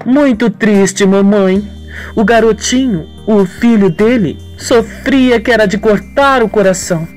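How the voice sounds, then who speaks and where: A woman's voice: mid-range voice, a little slow, darkish tone, faint music behind, voice pushed up, close to an everyday voice. A narrator voicing a scheming, two-faced young woman in a radio soap, with feigned sorrow and haughty defiance. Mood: fake sorrow. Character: scheming, falsely sweet young woman villain.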